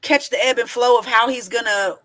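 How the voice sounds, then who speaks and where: A woman in her forties with speech, high-pitched and bright, pressing her points hard in casual group talk. She cuts in often and keeps the floor. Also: steady tone, fairly quick. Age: 40s. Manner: emphatic, talks over others. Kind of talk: casual panel chat.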